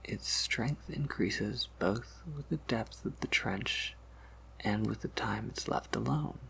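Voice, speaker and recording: Guy doing soft spoken vampire apothecary asmr, super slow n whispery, really crackly fry, calm explainy shopkeeper. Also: on the low side, heavily acted. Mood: quiet, confiding. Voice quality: breathy whisper with creaky undertone. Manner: measured, gently explanatory, slightly wry. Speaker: ASMR roleplay performer.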